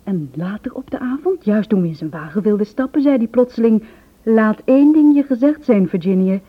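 A woman's voice: fairly high pitch, expressive, fairly quick. An actress playing a nervous, secretive young married woman who confides in a hesitant, earnest way in a radio drama. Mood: grave, urgent. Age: 20s. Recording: muffled old radio play recording.

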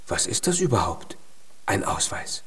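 A man's voice: fairly low pitch, big pitch swings, measured pace, fairly bright tone, slightly rough, full character voice. An actor in a children's radio play, playing a tiny male giant who is naive, earnest and full of hopeful pluck.

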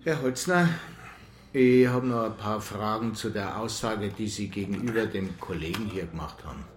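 An actor playing an officious, indignant senior police official, insistent and bureaucratic, in a radio crime drama. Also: male voice, fairly low pitch, moderate pace, echoey room, slight background noise, natural voice. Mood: officious insistence.

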